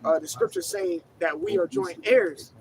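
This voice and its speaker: A man's voice: high voice, big pitch swings, moderate pace, vocal fry, a crowd in the background, rough recording. A man preaching in the street, emphatic, directing a reader with go-ahead calls. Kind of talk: street sermon. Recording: outdoor traffic noise.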